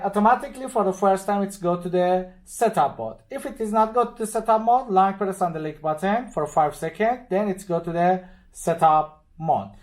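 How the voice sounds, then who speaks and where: A man with non-native, halting English walks step by step through an unscripted product demonstration. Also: high-pitched, darkish tone. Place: indoors at a desk with devices.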